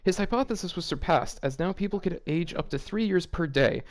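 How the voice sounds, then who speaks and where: A man's voice: sits high, lively intonation, brisk. A man hosting a casual read-aloud with running commentary, sounding sardonic and exasperated. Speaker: host of a fan fiction reading series.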